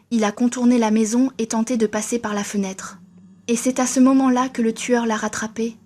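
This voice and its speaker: A woman reading a first-person horror story in a steady, even storytelling manner. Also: a little high. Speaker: narrator.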